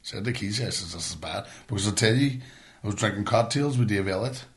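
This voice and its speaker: Northern irish guy in his 40s, lowish voice, sweary podcast chat. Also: quick pace. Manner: sweary, colloquial storytelling. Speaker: podcast host. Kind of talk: casual chat.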